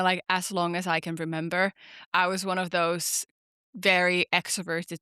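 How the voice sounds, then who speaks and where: A bright, crisp-voiced young woman from Finland chats as a podcast guest. She talks casually and leans on frequent "like" fillers as she tells her story. Her voice sits a little low and the recording is close and dry. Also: no echo. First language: Finnish. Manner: chatty, many "like" fillers, lively storytelling. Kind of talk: casual interview chat.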